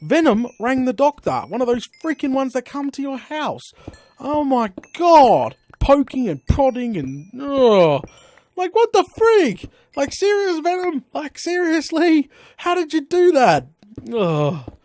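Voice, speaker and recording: An Australian man chatting casually during a live stream of his game, rambling through stories with lively slang exclamations. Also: extremely high pitch, a little slow, no echo. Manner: chatty, animated storytelling with frequent slang exclamations. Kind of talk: rambling casual chat while playing.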